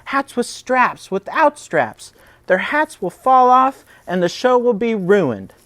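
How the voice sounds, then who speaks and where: A man playing a bossy, high-pitched little woodland animal. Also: sing-song, slow, fairly bright tone, dry recording, exaggerated voice. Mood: bossy, fussy. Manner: insistent, emphatic. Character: bossy, fussy animal friend, rabbit or squirrel, fretting.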